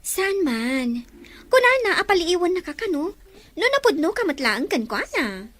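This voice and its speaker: A woman playing a lively, teasing younger sister in a drama, with an animated manner. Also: very high voice, moderate pace, no echo, exaggerated voice. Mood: playful, earnest. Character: lively, teasing young woman talking up her older brother. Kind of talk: Ilocano radio-style drama acting.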